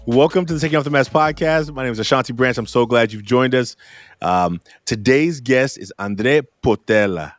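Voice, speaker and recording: A man's voice: mid-range voice, moderate pace, no room sound, studio quality, soft background music. A middle-aged man hosting a podcast interview, talking in a friendly, casual way and circling back over his words. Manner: friendly, repeats himself while practicing a name. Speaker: podcast host.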